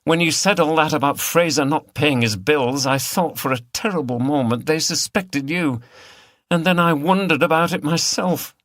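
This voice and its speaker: Guy doing an anxious apologetic woman in an audiobook, lifted pitch, earnest and emotional, dry sound. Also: normal speed, fairly bright tone, no echo, close to an everyday voice. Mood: shaken, apologetic.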